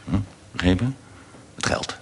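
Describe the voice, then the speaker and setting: An actor playing a gruff, wary, corrupt prison guard with a gravelly voice in a radio drama. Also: male voice, a little slow, slight background noise, natural voice.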